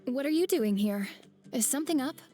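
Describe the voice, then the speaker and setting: Game character, dejected young rider, hesitant, slightly high voice. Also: female voice, animated, brisk, warm tone, faint music behind. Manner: hesitant, self-doubting. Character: discouraged young rider who gave up, hesitant. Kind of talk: video game voice acting.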